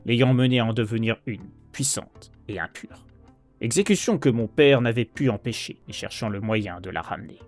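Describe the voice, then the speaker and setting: A man playing an anguished, guilt-ridden heir who narrates a horror poem in a solemn, theatrical, measured way. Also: medium pitch, natural voice. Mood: guilty anguish. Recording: narration over prominent background music.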